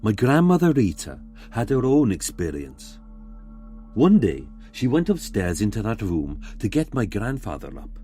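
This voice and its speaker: A man reading a scripted ghost story in a measured, dramatic way, pausing for suspense. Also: fairly low pitch, dark tone. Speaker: guest narrator reading a submitted ghost story.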